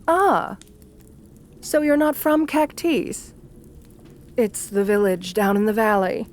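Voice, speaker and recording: A woman's voice: medium pitch, expressive, slow pace. A woman playing an assertive, flirtatious vampire countess in an ASMR roleplay, speaking to the listener in a genteel, doting way. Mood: gracious, charming. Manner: genteel, doting, uses pet names.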